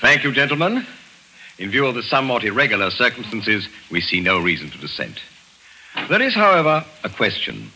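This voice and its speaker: A man's voice: medium pitch, very animated, background noise. An actor playing a formal male judge addressing the jury in a 1940s radio drama. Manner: formal, measured, courtroom address. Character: formal male judge presiding over a trial. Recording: old radio broadcast recording.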